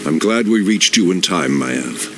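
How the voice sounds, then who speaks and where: An actor playing a noble, grave night elf archdruid leader in a video game, measured and authoritative. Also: male voice, low voice, expressive, vocal fry, music in the background, full character voice. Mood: calm, purposeful. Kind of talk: dramatic video game cutscene acting.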